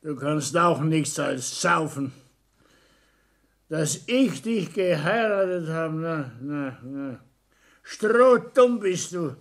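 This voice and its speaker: An actor playing a bullying, self-pitying older husband in a 1960s German radio play, grumbling and scolding with a Bavarian accent. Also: male voice, on the high side, animated, takes it slowly. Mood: scornful scolding.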